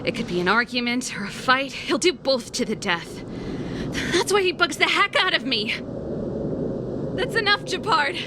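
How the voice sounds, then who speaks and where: A woman's voice: high-pitched, animated, moderate pace, long pauses, noisy background. An actress playing a headstrong, outspoken young woman in a video game, speaking frankly and emphatically like a determined elder sister. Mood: exasperated. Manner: frank, emphatic, dramatic delivery. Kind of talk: dramatic video game voice acting.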